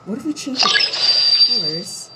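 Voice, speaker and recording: A woman's voice: a little high, expressive, moderate pace, very noisy. A woman giving casual playthrough commentary on a video game, talking to herself in short reactions. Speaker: gameplay streamer. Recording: loud game music behind. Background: loud video game music.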